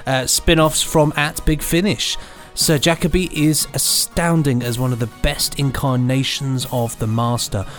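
A man's voice: mid-range voice, fairly even intonation, unhurried, fairly bright tone, faint music behind. A man co-hosting a podcast, reading reviews and chatting casually with a jokey manner. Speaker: podcast co-host.

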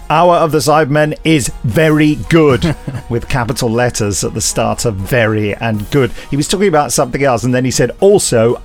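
A man co-hosting an audio drama podcast, reading links with wry, self-mocking humour. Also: mid-range voice. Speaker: podcast co-host. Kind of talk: casual podcast chat.

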